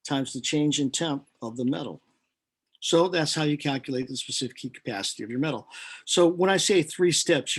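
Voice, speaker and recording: A man with a slightly husky, somewhat high voice talks through a chemistry calculation step by step. He thinks aloud, pauses on fillers and corrects himself as he goes, with the odd dry joke at his own expense. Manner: dry self-deprecating asides. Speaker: chemistry instructor. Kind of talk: recorded lecture explaining a lab calculation.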